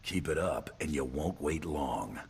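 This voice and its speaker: A tough team leader from a video game, speaking in a rumbling, very deep bass with lively inflection. His delivery is clipped, dry and sardonic, quietly threatening. There is faint noise in the background. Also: unhurried, gravelly, exaggerated voice. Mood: dry threat. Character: hard-bitten male team leader, dryly threatening tough guy. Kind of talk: video game character acting.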